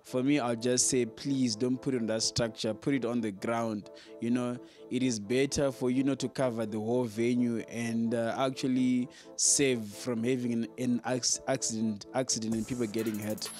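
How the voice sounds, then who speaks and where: Casting: male presenter, mid-pitched voice over music, earnest informal tutorial delivery with halting repetitions. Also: steady tone, darkish tone. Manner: halting, repeats words as he explains. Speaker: sound engineer and video host giving advice. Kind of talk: informal explainer to camera.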